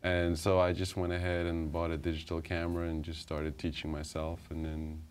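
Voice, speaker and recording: A man from Guyana, a photographer guest in a TV studio interview, speaking hesitantly with many pauses and ums. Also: low-pitched, monotone, clean tone. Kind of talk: casual interview talk. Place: TV studio, indoors.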